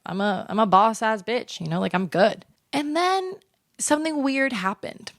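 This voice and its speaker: A woman in her twenties, talking casually to the camera in a sit-down vlog chat, chatty and animated with lots of fillers. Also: on the low side, dry recording, clean studio sound. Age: 20s. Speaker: vlogger.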